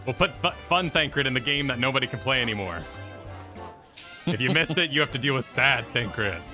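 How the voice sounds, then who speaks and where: A man's voice: mid-range voice, animated, moderate pace, bright, forceful tone. A man co-hosting a stream, chatting casually as he reacts to video game cutscenes. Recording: game music playing behind voice. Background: video game cutscene music.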